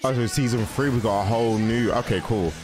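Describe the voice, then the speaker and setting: A man's voice: medium pitch, a little fast. A young man giving casual, slangy reaction commentary, thinking aloud and repeating himself, close on the mic. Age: young adult. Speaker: reaction video host. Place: indoor room. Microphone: large microphone close to mouth. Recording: music and show audio behind.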